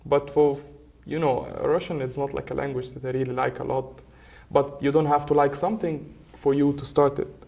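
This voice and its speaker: A man's voice: mid-range voice, level tone, normal speed, vocal fry, few pauses, a little mellow. A young man whose first language is Arabic, talking casually as a podcast guest and hesitating often with fillers. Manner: hesitant, many filler uhs. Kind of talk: casual conversation. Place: podcast studio.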